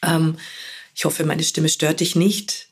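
A woman hosting her own podcast as an animal communicator, talking in a gentle, earnest way, hoarse from a cold. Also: sits low, level tone, takes it slowly. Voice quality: husky, gravelly. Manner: gentle, earnest, with fillers and repeated words. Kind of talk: solo podcast monologue.

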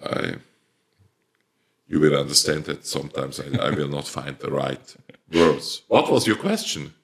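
A man's voice: very deep voice, lively intonation, a little slow, gravelly, fairly bright tone. A man with a Swiss German accent, speaking English as a later language, answering interview questions hesitantly with many fillers and restarts. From Switzerland. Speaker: plant producer, company owner.

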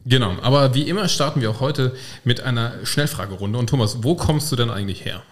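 A man co-hosting a political podcast, asking curious questions in a relaxed interview chat. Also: medium pitch, quick pace. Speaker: podcast co-host.

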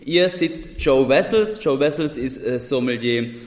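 A man's voice: medium pitch, unhurried. A man with an Odenwald accent hosting a wine podcast and putting questions to his guests. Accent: Odenwald German dialect. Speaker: podcast host. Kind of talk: hosted wine podcast conversation. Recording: echoey room with long reverb.

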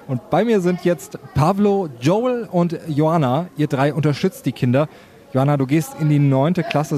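A man's voice: fairly high pitch, dark tone. A man working as a radio reporter, talking informally in an on-location interview report. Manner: informal, chatty. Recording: on-location recording with background noise.

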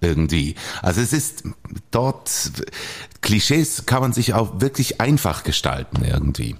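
A man with a Bernese Swiss German accent chatting on a podcast, telling stories in a halting, hesitant way. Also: on the low side, big pitch swings, gravelly. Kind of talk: casual podcast chat.

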